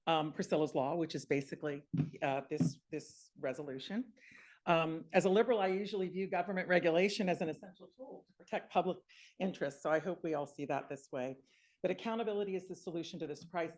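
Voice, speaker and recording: A woman with a fairly low voice speaks at a podium mic in a meeting hall. She talks in a halting way, stopping and restarting her sentences as she makes her public comment. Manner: halting, with many fillers and restarts. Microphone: microphone at a podium.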